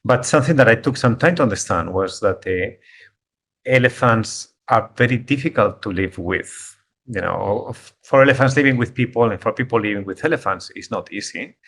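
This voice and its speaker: A man's voice: medium pitch, a bit bright. A middle-aged man from Spain with a Spanish accent, giving a talk over a video call, often repeating words as he searches for how to put things. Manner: halting, repeats words while searching for phrasing. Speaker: guest speaker, conservation biologist. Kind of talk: online talk.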